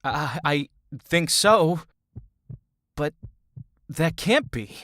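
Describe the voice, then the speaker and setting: A woman's voice: low voice, animated, drawn-out words, a bit bright, dry recording, heavily acted. A woman playing a blunt, skeptical adventurer in a fantasy audio drama, with a wry way of speaking. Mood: worried, disbelieving.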